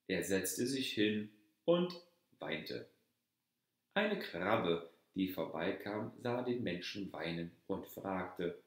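A man reading a fairy tale aloud as a storyteller, in a measured, deliberate manner. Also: deep voice. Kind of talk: scripted fairy-tale narration. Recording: very echoey, reverberant room.

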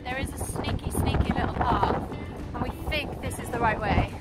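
A young woman with a Swansea Welsh accent, chatting excitedly as she vlogs a windy clifftop walk, filmed on a handheld camera. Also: a little high, normal speed, over loud music, rough recording. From Wales. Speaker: vlogger. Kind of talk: casual outdoor vlog. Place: windy clifftop coast outdoors. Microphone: handheld camera at arm's length.

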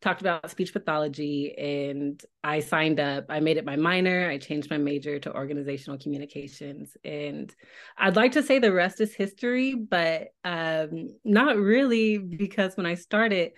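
Low-pitched American woman, chatting casually. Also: normal speed. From Tulsa, Oklahoma, United States. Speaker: podcast guest, speech-language pathologist. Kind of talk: casual interview storytelling.

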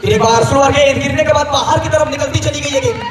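Casting: male cricket commentator, very high, shouting over PA echo from afar. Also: steady tone, fast, noisy background. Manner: rhyming, showy, shouted commentary. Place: floodlit outdoor cricket ground. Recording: echoey PA sound from far off, loud crowd.

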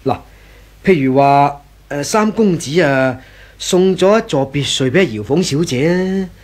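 A man's voice: on the high side, expressive, a little slow. An actor playing a hesitant, gossiping employee in a 1980s Hong Kong Cantonese radio drama, stammering and sighing as he feigns reluctance. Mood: insinuating.

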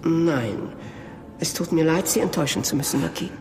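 An actor playing a grave, pious monk in a horror audio drama, speaking solemnly and portentously. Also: male voice, on the high side, animated, moderate pace, close to an everyday voice. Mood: regretful.

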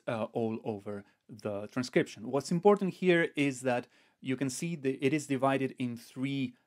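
Guy in his 50s doing an online music lesson, medium pitch, explains step by step with little pauses. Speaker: music teacher, online video host. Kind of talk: instructional explanation to camera.

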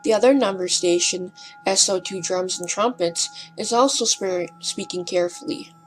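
A woman reading scripted documentary narration in a careful, slightly hesitant and breathy way. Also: on the low side, normal speed, low-quality recording. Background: music.

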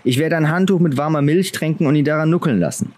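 A man's voice: a little high, fast, darkish tone, pitched above the performer's own voice, natural voice. A man voicing an eager, determined noble boy in a fantasy audiobook, earnest and insistent.

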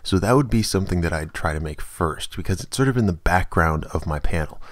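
A man narrating a software tutorial as its host, explaining things in a casual, informal way. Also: sits low, lively intonation, brisk, dry recording.

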